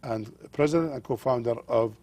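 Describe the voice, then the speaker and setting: An older man from Jordan, a native Arabic speaker with a Jordanian accent, a pastor speaking earnestly as a guest in an interview, halting with fillers. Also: mid-range voice, warm tone.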